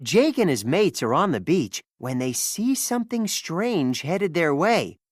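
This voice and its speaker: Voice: medium pitch, sing-song, unhurried, dry recording, studio quality. A storybook narrator reading a scripted children's tale expressively, acting out the dialogue.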